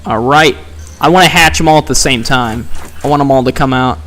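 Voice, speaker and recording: A man's voice: medium pitch, fairly quick, a bit bright. A man streaming a video game, chatting casually and scolding the game as he plays. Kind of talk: casual gameplay commentary.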